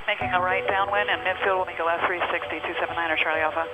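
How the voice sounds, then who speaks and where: Woman pilot on a cockpit headset, loud music. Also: fairly low pitch, steady tone, very fast, dull audio. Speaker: student pilot on a solo flight. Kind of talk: radio readbacks and self-talk while flying. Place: small airplane cockpit in flight. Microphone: aviation headset.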